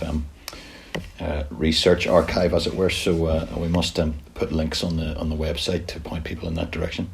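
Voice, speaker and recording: Hesitant podcast host, male, low and creaky. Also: a little mellow. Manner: hesitant, many fillers. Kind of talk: podcast interview.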